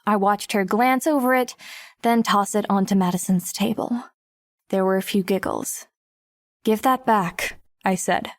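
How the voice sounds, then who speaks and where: A woman's voice: medium pitch, steady tone, measured pace, no room sound, lightly acted. Woman as a wry teenage girl narrator, dry recording. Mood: tense. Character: wry, self-conscious teenage girl narrating her story. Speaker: lead narrator. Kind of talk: first-person audiobook narration.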